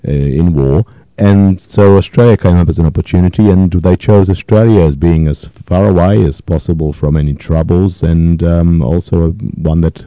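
A man in his fifties, from Poland and a native Yiddish speaker, talks through his memories slowly and thoughtfully, often pausing to find the right word. His voice is extremely deep and dark. It sounds dry and muffled, as on an old dull recording. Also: dry recording. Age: 50s. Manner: thoughtful, hesitant, with frequent pauses and fillers. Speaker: interviewee. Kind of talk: reflective oral history interview.

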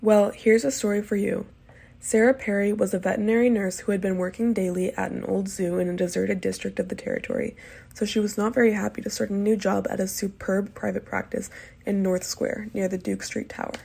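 A woman's voice: medium pitch, flat delivery, brisk, vocal fry, mellow, slightly rough. A nineteen-year-old woman from California, giving a plain, unadorned dialect sample. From Palo Alto, California. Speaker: dialect archive speaker. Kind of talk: dialect sample reading and talk.

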